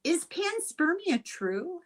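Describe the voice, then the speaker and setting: A woman's voice: very high-pitched, very animated, slow. An older woman hosting a casual interview, asking inquisitive questions and wandering into asides as she talks. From Ireland. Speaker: host and interviewer.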